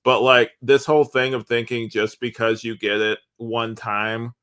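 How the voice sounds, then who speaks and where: Mid-pitched male voice, podcast guest, casual film chat, opinionated, plain-spoken. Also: level tone, a little slow, a bit bright, smooth.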